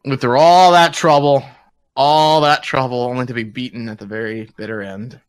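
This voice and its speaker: A man's voice: mid-range voice, fairly even intonation, normal speed, smooth, no echo. A man co-hosting a podcast in casual, jokey group chat. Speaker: podcast co-host.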